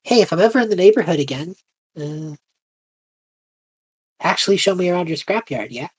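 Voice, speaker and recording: A woman's voice: on the low side, animated, brisk, darkish tone, slightly rough, pitched above the performer's own voice, rough recording. A woman voicing a friendly young male spellcasting traveler in a casual, upbeat way during a tabletop game. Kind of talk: tabletop roleplay in character.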